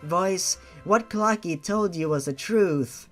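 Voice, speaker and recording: A man's voice: high voice, slow, soft background music. A man voicing an earnest, insistent male killer pleading with his beloved in a story reading.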